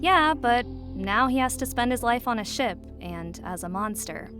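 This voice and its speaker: A woman's voice: fairly high pitch, lively intonation, unhurried. Sulky young woman, music behind. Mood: unimpressed. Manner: dismissive, grudging. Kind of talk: naturalistic audio drama dialogue. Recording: music under the voice.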